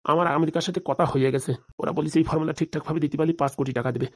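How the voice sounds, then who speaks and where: Woman playing low, rapid-talking male schemer. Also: steady tone, darkish tone, breathy edge. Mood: persuasive. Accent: rural Bengali. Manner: persuasive, boastful. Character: smooth-talking scheming male election fixer. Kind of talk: comedy cartoon dialogue.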